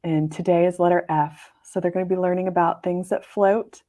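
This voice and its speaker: A young woman with a fairly low voice chats upbeat and talkative as a podcast co-host. She is a teacher speaking from her classroom, easy and friendly in tone. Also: steady tone, brisk, warm tone. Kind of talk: casual chat.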